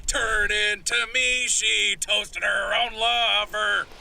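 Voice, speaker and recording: A man playing a hearty backwoods man in a horror audio drama, with folksy rural phrasing and a storyteller's pauses. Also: high-pitched, little pitch movement, gravelly, sharp tone, heavily acted. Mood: merry singing. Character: gruff, hearty backwoods man retelling wilderness legends.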